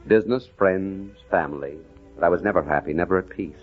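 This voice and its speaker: An actor playing a haunted amnesiac war veteran, narrating his past in a halting, earnest old-time radio drama style. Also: sits low, soft background music, close to an everyday voice. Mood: brooding, pained. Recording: muffled lo-fi vintage radio recording.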